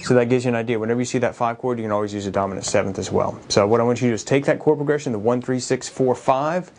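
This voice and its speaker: A man giving a casual guitar lesson, explaining chord progressions and transposition in a relaxed, conversational way while he plays. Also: mid-range voice, very fast. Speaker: guitar teacher. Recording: loud guitar under the voice. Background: guitar played by the speaker.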